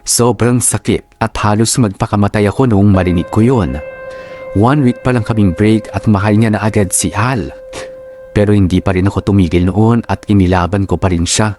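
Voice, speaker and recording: Low-voiced jilted student telling his story, read by a man. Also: moderate pace, darkish tone, faint music behind, natural voice. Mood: wistful, hurt. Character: jilted young male student recounting his heartbreak. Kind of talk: first-person radio drama narration.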